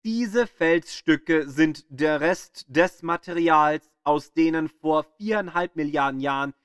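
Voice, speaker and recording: Male audio-guide narrator: slightly high voice, slow measured delivery with dramatic pauses, scripted and educational. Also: clean tone, dry recording, clean studio sound.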